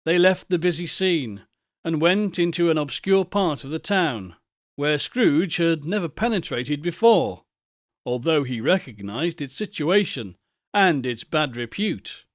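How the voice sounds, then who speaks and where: A man reading a classic ghost story aloud as an audiobook, in a measured, expressive storytelling manner. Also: fairly high pitch, no echo, studio quality. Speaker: audiobook narrator. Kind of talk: scripted narration of a classic novel.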